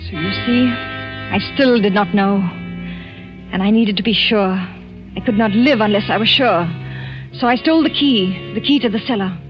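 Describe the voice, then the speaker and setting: An actress playing an anxious, fearful French wife in a 1940s radio thriller, halting and dramatic. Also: female voice, medium pitch, close to an everyday voice. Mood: haunted resolve. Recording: muffled vintage radio recording with music.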